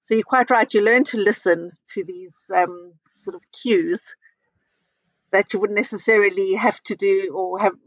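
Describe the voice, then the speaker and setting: A middle-aged woman from South Africa, a guest in a conversational interview, talking in a measured, thoughtful way with frequent ums and uhs. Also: mid-range voice, steady tone, dry recording, poor audio. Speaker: interview guest, communications consultant.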